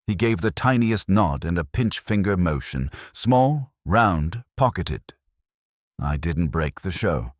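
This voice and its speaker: Very deep-voiced man narrating detective fiction. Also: big pitch swings, slow, no room sound, studio quality. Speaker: audiobook narrator. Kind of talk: scripted first-person detective story narration.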